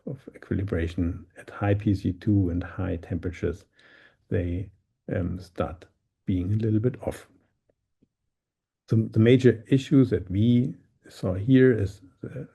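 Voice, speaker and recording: Dark, gravelly, husky man presenting research. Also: fairly low pitch. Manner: halting, searching for words, repeating himself. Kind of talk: online scientific presentation.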